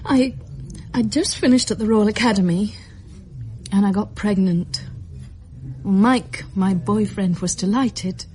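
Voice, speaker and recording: An actress playing a fragile but determined young mother in distress, speaking haltingly and emotionally in a radio drama. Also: mid-range voice, dark tone, natural voice. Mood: distressed confessing. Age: young adult. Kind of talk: naturalistic radio drama acting.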